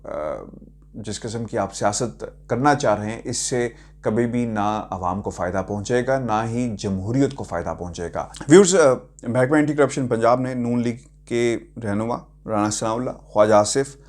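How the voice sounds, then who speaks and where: A man giving news commentary to online viewers as a host, speaking haltingly with drawn-out pauses. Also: medium pitch, a little mellow. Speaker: political commentator and video host.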